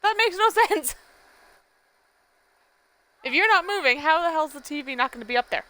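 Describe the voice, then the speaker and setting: A woman's voice: high-pitched, lively intonation, normal speed, sharp tone, no room sound, low-quality recording. A woman streaming a game, talking casually in a blunt, sweary, scolding way. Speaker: game livestreamer. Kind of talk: casual off-the-cuff chat.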